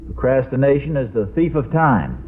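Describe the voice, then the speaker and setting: Male actor as middle-aged radio sitcom father, mild, a bit pompous, expressive pitch, thin old broadcast sound. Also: close to an everyday voice. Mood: mild, moralizing. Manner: mild, moralizing, slightly halting. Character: affable, mildly pompous, hesitant suburban father. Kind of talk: 1940s-50s radio sitcom acting. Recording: noisy old radio broadcast, narrow band.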